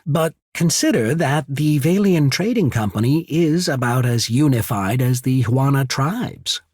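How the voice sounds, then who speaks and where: A man voicing a shrewd, courteous trading company secretary in a video game, speaking formally and diplomatically with subtle disdain and a husky voice. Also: medium pitch, a little mellow, no room sound, studio quality. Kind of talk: video game character voice acting.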